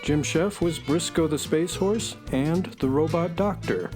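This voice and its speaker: A man's voice: a little high, measured pace. Male announcer over music. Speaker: announcer reading cast credits. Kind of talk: scripted narration.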